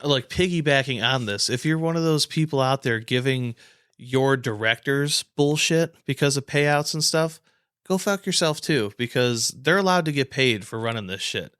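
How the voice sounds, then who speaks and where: A man's voice: mid-range voice, normal speed, a bit bright, clean tone, dry recording, studio quality. A man co-hosting a casual sports podcast, ranting with blunt, emphatic, profanity-laced talk. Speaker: podcast co-host.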